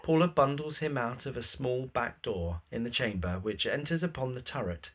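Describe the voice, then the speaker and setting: A man's voice: mid-range voice, expressive, moderate pace. A man reading scripted present-tense narration for an audio drama.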